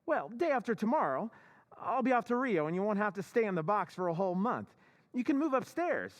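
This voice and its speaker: A man's voice: sits high, big pitch swings, fairly quick. A man playing a meek, stammering husband. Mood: breezy. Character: meek, nervous middle-aged husband who panics. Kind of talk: old-time radio drama recreation.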